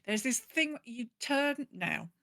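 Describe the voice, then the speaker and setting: A woman with a bright, forward voice talks casually as a podcast co-host. She rambles in an animated way, acting out her thoughts as she goes, and the recording is dry and close. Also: fairly high pitch, takes it slowly, dry recording. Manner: animated, rambling storyteller who acts out her own thoughts. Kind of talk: casual chat about gaming.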